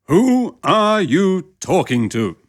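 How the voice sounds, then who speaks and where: Woman doing a low, pompous storybook King. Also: sing-song, slow, heavily acted. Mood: haughty. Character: mild, pompous grown male King of playing cards. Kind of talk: children's storybook character voice.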